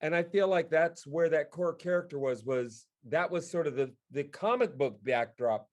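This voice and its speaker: A man's voice: on the high side, moderate pace. A man in casual conversation, telling stories by acting out bits of remembered dialogue. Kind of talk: casual chat.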